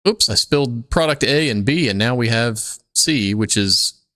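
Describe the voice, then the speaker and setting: A man's voice: medium pitch, expressive, moderate pace, bright, forceful tone, dry recording. A man co-hosting a casual podcast, joking and chiming in with playful, teasing banter. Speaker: podcast co-host.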